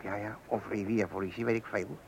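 A man acting a wary, bossy poacher in a radio comedy, giving urgent, hushed orders. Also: on the low side, fairly even intonation, fairly quick, vocal fry. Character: wary, bossy poacher warning his naive fishing companion. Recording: old radio recording, light hiss.

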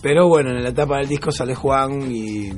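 A man's voice: mid-range voice, brisk, faint music behind. A man in his twenties with an Argentine accent, chatting casually as a musician being interviewed. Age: 20s.

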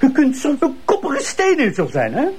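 An actor playing a domineering, impatient public prosecutor with a Flemish accent and a husky, pointed way of talking, in a television drama. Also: male voice, very high voice, wildly swooping pitch, normal speed, a little mellow, exaggerated voice. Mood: angry, urgent. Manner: pointed, demanding. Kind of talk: naturalistic television drama dialogue.

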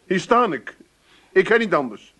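A bossy Amsterdam householder in a radio comedy, forever short of money. His voice is fairly high and swings up and down as he makes pompous, mock-solemn appeals. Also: very animated, normal speed, fairly bright tone, heavily acted. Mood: insistent, exasperated. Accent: Amsterdam Dutch. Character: bossy, cash-strapped Amsterdam son and household head, pompously haggling. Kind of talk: 1980s radio comedy acting.

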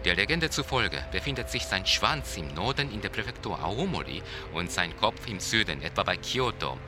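A solemn Japanese scientist in a radio drama, very low in pitch with lively rises and falls. He lectures formally and precisely, and music plays clearly behind him. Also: moderate pace. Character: male Japanese seismologist, precise, solemn expert being interviewed. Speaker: seismologist interviewee. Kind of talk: expert interview in a radio play.

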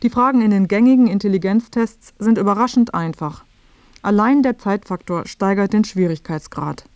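A woman's voice: medium pitch, normal speed, darkish tone, no echo. A woman reading scripted documentary narration as the narrator of a radio feature.

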